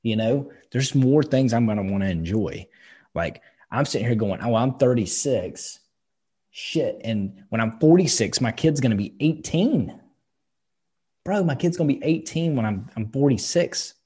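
A man in his thirties speaks with a husky, slightly breathy voice. He talks in a casual, emphatic way, swearing now and then as he gives advice. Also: mid-range voice, expressive, a little slow, dark tone. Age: 30s. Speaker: podcast co-host. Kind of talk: casual chat.

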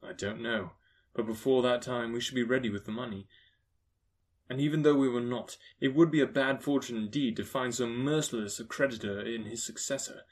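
A man voicing a relieved husband in debt who reassures his wife calmly. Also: medium pitch, a little fast, lightly acted. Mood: reassuring.

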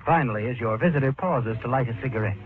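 An actor narrating a vintage radio mystery in a measured, ominous, dramatic way, addressing the listener as you. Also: male voice, medium pitch, narrow, tinny audio, lightly acted. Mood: grave suspense. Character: dramatic, ominous male narrator of a suspense story. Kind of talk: 1940s-50s radio suspense narration, second person. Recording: old radio recording, music underneath.

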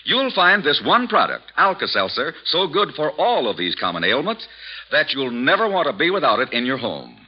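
A man's voice: a little high, huge pitch swings, normal speed, husky. A man with an American accent reading a 1940s radio advertisement in an emphatic, salesmanlike way. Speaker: radio announcer. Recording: dull vintage radio recording.

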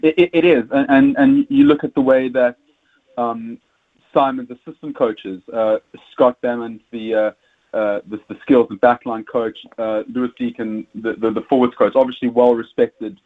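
A man's voice: mid-range voice, fairly even intonation, normal speed. A man with a South African accent, a rugby pundit talking casually in an interview, stumbling over repeated words and fillers. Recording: tinny phone line.

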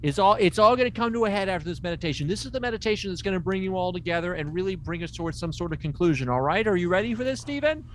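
A man's voice: sits high, animated, very fast, hardly any pauses, a little hiss or hum. A man playing an eccentric, domineering, unqualified therapist in improvised comedy, coaxing and rambling with mock authority. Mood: commanding. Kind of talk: improvised comedic character acting.

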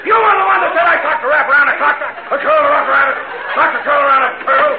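A man's voice: extremely high pitch, quick pace, crowd noise. An actor playing a pompous, exasperated middle-aged mayor who sputters and tangles his words in an old radio comedy. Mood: flustered, exasperated. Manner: sputtering, tongue-tangled when worked up. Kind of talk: 1940s radio comedy acting. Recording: muffled old radio, heavy noise, audience.

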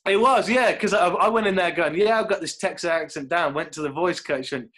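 A young man from Britain chats loosely about acting, jumping between half-finished thoughts and mimicking what people said. His voice sits a bit higher than most men's. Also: a little fast, fairly bright tone. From United Kingdom. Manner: rambling, laughing, acts out quotes. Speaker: actor guest. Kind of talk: casual chat.

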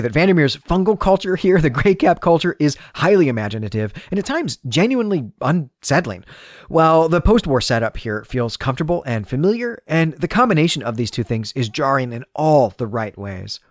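A man's voice: fairly high pitch, no echo, clean studio sound. A man hosting a book review podcast, speaking in articulate, literary, carefully phrased commentary. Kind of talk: solo book review commentary, partly scripted.

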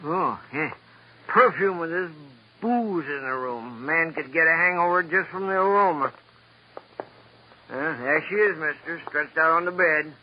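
An actor playing a grumbling, nosy, coarse caretaker with slangy, griping delivery in a 1950s radio drama. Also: male voice, fairly high pitch, unhurried, close to an everyday voice. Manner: griping, slangy, loud calling. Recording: muffled, hissy old radio recording.

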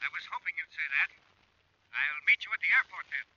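A man's voice: fairly high pitch, a little fast, noisy background. An actor playing a worried, earnest older father through a telephone filter in a 1940s radio drama. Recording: thin filtered phone line, old radio.

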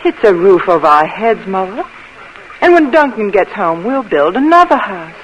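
A woman's voice: medium pitch, moderate pace, muffled recording, slight background noise, lightly acted. A woman playing a young wife who is devoted to her husband, in earnest 1940s radio acting. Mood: hopeful. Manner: earnest, rising to breathless excitement.

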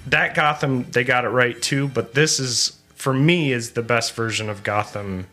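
A man in his forties hosting a casual movie podcast, stammering and animated, joking as he talks. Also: medium pitch, moderate pace, faint music behind. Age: 40s. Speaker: podcast host.

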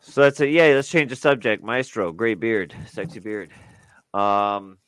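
A man with a lowish voice co-hosts a casual podcast. He rambles, restarting sentences and filling gaps with "um" and "uh". The sound is dry and close, with no room to it. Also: measured pace, a bit bright, clean tone, no echo. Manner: rambling, lots of fillers and restarts. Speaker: podcast co-host. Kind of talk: casual chat.

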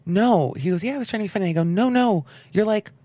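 A woman in her twenties chatting candidly as a podcast guest, swearing freely and trailing into quick asides. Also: medium pitch, warm tone, dry recording. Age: 20s. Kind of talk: casual chat.

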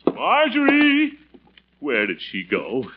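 Blustery uncle, wildly swooping voice. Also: male voice, on the high side, huge pitch swings, slow pace, loud music behind the voice, heavily acted. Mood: exasperated. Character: blustery, fussy, good-hearted middle-aged uncle and guardian. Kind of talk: 1940s radio comedy acting. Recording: noisy, muffled old radio recording.

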